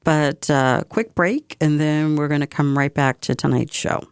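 A woman's voice: low-pitched, unhurried, clear, resonant tone, dry recording, clean studio sound. A woman hosting a community radio talk show, speaking with passion and repeating phrases for emphasis. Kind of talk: opinionated talk radio monologue.

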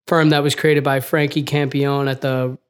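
A young man, a recent graduate, co-hosting a casual podcast in a relaxed way with many "you know"s. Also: medium pitch, moderate pace, clean tone. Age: young adult. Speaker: podcast co-host, junior architect. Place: office.

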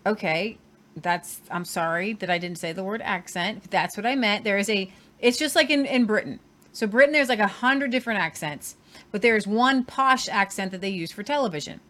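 A woman co-hosting a casual news chat, talking in lively bursts and repeating herself for emphasis. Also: mid-range voice, moderate pace. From Southern Ohio. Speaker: co-host.

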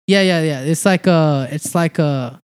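A man's voice: on the high side, unhurried, clear, resonant tone, no room sound. A young man from El Salvador, a stand-up comic, talking loosely as a podcast guest, swearing and laughing a lot. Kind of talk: casual podcast chat.

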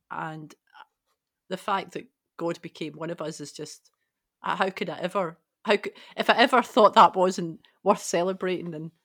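A woman speaking as a podcast panellist in casual, reflective discussion. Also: lowish voice.

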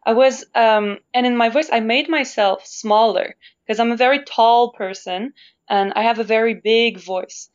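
A woman's voice: fairly high pitch, moderate pace, clear, resonant tone. A woman from Canada, an opera singer interviewed as a guest, talks thoughtfully and searches for her words, with easy laughs. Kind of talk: reflective conversational interview. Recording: dull lo-fi sound.

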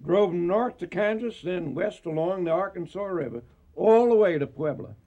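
Dignified frontier cattle veteran, husky and rather high, courteous, radio drama. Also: male voice, expressive, moderate pace, mellow, muffled recording. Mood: calm, interested. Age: 50s. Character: dignified, seasoned cattleman of fifty-four. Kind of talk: Western radio drama acting.

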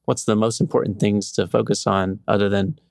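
Male podcast host, creaky but clear low-leaning voice, casual interviewer. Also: flat delivery, brisk, a little mellow, no room sound, clean studio sound.

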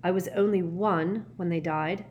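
A woman voicing a quiet, determined teenage boy wizard in a storybook, steady and insistent. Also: on the low side, unhurried, lo-fi sound, slight background noise, close to an everyday voice. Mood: quiet, matter-of-fact.

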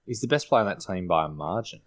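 A man's voice: low-pitched, lively intonation, quick pace. A man giving casual opinions as a fantasy sports podcast panellist.

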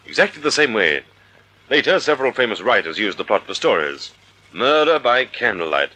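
An actor playing a suave, wry gentleman sleuth with a dramatic delivery in a vintage radio mystery. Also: fairly low pitch, sing-song, normal speed, slight background noise, poor audio. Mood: intrigued. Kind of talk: 1940s radio acting. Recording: old radio broadcast recording.

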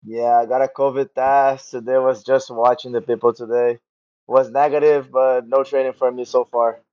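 A man in his twenties from Brazil with a Portuguese accent, a podcast guest who is sick with a sore throat, speaking in halting English with fillers. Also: medium pitch, little pitch movement, a little mellow, muffled recording. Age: 20s. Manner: halting non-native English with filler words. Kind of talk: casual interview chat.

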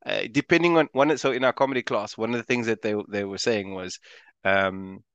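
South African man, smooth and low. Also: fairly quick, a bit bright, dry recording, muffled recording. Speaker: stand-up comedian, guest. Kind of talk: casual conversational interview.

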